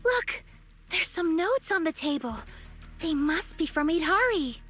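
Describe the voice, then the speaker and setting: An actress playing a curious, gentle, girlish companion in a video game story. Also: extremely high pitch, lively intonation, measured pace, faint music behind, full character voice. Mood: eager.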